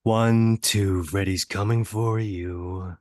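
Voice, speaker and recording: A woman playing a menacing male horror killer at a table read, slow and taunting. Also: rumbling low, fairly even intonation, a little mellow, no room sound, studio quality.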